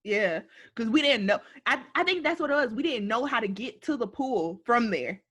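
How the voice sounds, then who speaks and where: Husky, giggly young woman. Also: fairly high pitch, moderate pace. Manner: giggly, animated storyteller. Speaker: podcast co-host. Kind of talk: casual storytelling chat.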